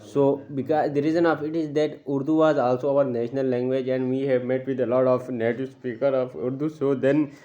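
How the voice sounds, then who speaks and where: Halting young Pakistani man, Shina speaker. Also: medium pitch, little pitch movement, dark tone, lo-fi sound. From Gilgit-Baltistan, Pakistan. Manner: halting, many filler pauses and restarts. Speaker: student speaking to camera. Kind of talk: unscripted personal talk.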